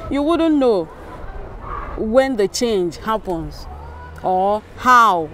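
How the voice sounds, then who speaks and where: Slow-speaking Nigerian woman explaining research. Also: mid-range voice, lively intonation, fairly bright tone, slight background noise. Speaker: academic linguist. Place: outdoors in a yard with sculptures. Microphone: clip-on lapel microphone.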